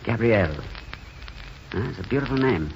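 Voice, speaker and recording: A gentle, weary intellectual who mocks his own failures, in vintage radio drama style. His delivery is courteous and hesitant, swinging widely in pitch. The sound is muffled, with faint noise from the old broadcast. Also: animated, normal speed. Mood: gentle, curious. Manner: courteous, hesitant, wryly self-deprecating. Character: gentle, world-weary, self-mocking failed writer and drifter. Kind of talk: 1940s radio drama acting.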